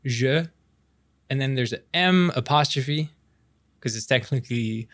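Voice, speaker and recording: A man's voice: mid-range voice, a bit bright, natural voice. A French Canadian man, a native French speaker, playing a friendly, playful young man who casually tutors his childhood friend in an intimate romance ASMR roleplay. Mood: relaxed, instructive. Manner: halting, encouraging, often chuckling, drawn-out demonstrations. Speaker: voice actor in a romantic audio roleplay.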